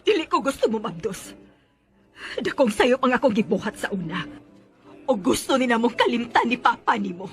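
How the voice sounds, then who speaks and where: Radio drama: cold, rejecting mother, high-pitched and scolding, soft music behind. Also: very animated, moderate pace. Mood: bitter, agitated. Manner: stern, scolding. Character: cold, bitter mother who rejects her daughter. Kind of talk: Bisaya radio drama acting.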